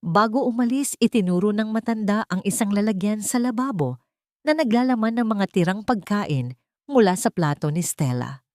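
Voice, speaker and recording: Low-voiced woman narrating a dramatic story. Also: mellow, no room sound, clean studio sound.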